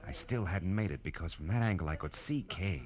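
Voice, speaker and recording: An actor playing a world-weary, hard-boiled private eye, wry and terse, in 1940s radio noir style. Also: male voice, low voice, lively intonation, fairly quick, a crowd in the background. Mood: urgent, tense. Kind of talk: 1940s radio noir first-person narration. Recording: vintage radio drama with music behind.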